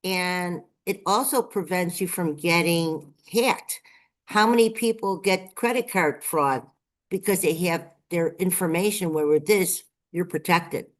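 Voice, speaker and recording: A woman's voice: fairly low pitch, unhurried. A woman chatting enthusiastically as a guest on a live-streamed show, sounding a bit congested. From Las Vegas, Nevada. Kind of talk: casual live-stream chat.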